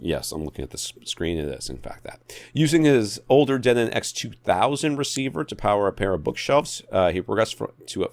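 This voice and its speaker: A man's voice: on the low side, dry recording. A man co-hosting a podcast, giving opinionated tech advice in a blunt, exasperated, emphatic way that repeats points for effect. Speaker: podcast co-host.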